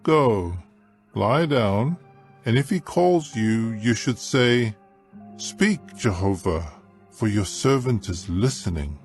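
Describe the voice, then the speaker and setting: A man's voice: fairly low pitch, animated, slow, soft background music. An actor playing an elderly, fatherly priest, grave in manner, in a dramatized scripture audiobook. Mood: patient, instructing.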